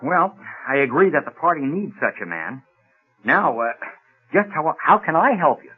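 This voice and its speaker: An actor playing a polite, hesitant, earnest young small-town coach in a radio drama. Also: male voice, a little high, sing-song, moderate pace, narrow, tinny audio. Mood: flustered, hesitant. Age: young adult.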